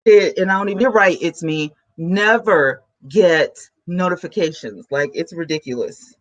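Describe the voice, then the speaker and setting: A woman YouTuber, chatting excitedly to her viewers from home while she sets up a new microphone. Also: on the low side, measured pace, muffled recording. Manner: chatty, excitable, talks to chat. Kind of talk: casual vlog chat to viewers. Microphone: camera mic, then a new plug-in USB mic.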